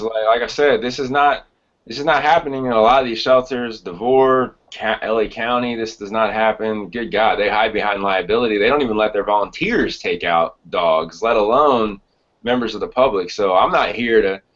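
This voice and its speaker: A man's voice: on the low side, moderate pace, a bit bright. Man hosting a slightly dull-sounding podcast. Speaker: podcast host. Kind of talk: casual conversational interviewing.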